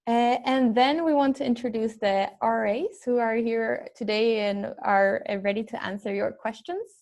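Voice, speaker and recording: A young woman from Sweden leads a video call panel, her voice carrying a gravelly fry. She speaks haltingly and feels her way through introductions. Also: a little high, steady tone, darkish tone. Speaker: panel moderator, medical student.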